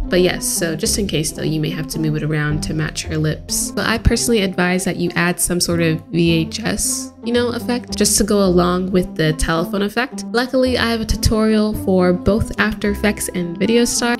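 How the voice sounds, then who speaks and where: Low-pitched woman giving a chatty tutorial. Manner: chatty, informal, self-deprecating asides. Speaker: video editing tutorial host. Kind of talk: casual screen-recorded tutorial.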